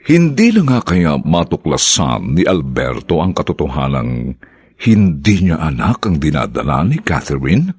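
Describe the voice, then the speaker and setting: A man's voice: a bass voice, sing-song, unhurried, darkish tone, breathy edge. A man announcing and narrating a radio drama in a formal, dramatic way. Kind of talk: old-style radio announcing.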